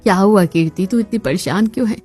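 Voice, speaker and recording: A woman acting the dramatic, expressive daughter and chatty female relatives of a cartoon family story. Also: medium pitch, quick pace, slightly rough, close to an everyday voice. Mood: anxious, dismayed. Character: young unmarried daughter and her chatty women relatives, expressive. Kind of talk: Hindi cartoon story dialogue. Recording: music bed behind the voice.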